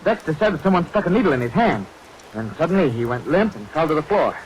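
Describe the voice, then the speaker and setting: An actor playing a grave, precise professional man in a 1940s radio drama, speaking in a measured, formal, explanatory way. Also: fairly high pitch, very animated. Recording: noisy vintage radio recording, rain over it.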